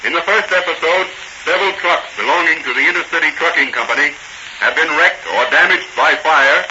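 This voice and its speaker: High-pitched dramatic vintage radio announcer, man. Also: a little fast, pushed and bright, a little hiss or hum. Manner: dramatic, declamatory, formal. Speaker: radio serial announcer and narrator. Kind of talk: 1940s radio scripted narration. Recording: muffled vintage 1940 radio recording. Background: music.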